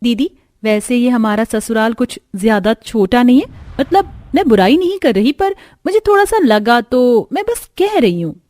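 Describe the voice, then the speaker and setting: Woman as high-voiced young bride. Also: very animated, moderate pace, darkish tone, no room sound. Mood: hesitant. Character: young newly married daughter-in-law, frank and chatty. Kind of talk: Hindi cartoon story acting.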